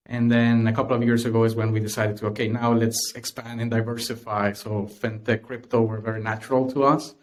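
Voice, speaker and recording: A man's voice: mid-range voice, little pitch movement, brisk, hardly any pauses. A man from Honduras with a Honduran Spanish accent, a podcast guest who talks with many fillers and restarts. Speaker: guest, tech company cofounder. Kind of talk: podcast interview.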